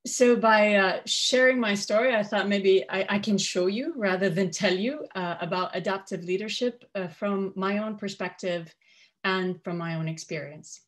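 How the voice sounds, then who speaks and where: A woman in her forties from Kuwait speaking on a panel, telling her personal story in a measured, articulate way. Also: mid-range voice. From Kuwait, of Syrian parents. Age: 40s. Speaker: panel speaker, coach and media professional. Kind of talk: personal storytelling in a prepared talk.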